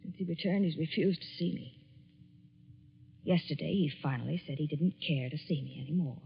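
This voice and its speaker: An actress playing a jilted, despairing young woman in a 1940s radio suspense drama, earnest, measured and grimly resolute. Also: sits low, vocal fry, breathy edge, heavily acted. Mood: wounded, despairing. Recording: dull 1940s radio broadcast.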